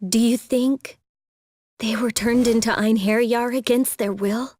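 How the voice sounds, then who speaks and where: An actress playing an earnest, gentle but resolute young fantasy heroine in a video game, speaking hesitantly. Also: female voice, fairly high pitch, steady tone, unhurried. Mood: shocked, troubled. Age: young adult. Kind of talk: video game cutscene voice acting.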